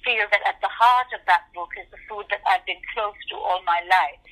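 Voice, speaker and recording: A woman's voice: medium pitch, a little fast, a crowd in the background. A woman whose first language is Urdu, speaking as an interview guest, earnest and reflective, often restarting her sentences. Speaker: interview guest, cookbook author. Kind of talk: phone conversation. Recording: phone-line audio.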